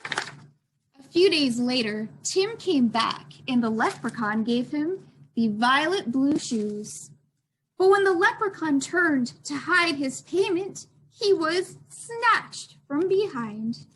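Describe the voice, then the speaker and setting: A woman's voice: sits high, very animated, takes it slowly, fairly bright tone, a little hiss or hum, rough recording. A woman librarian reading aloud for a children's storytime, expressively addressing young listeners.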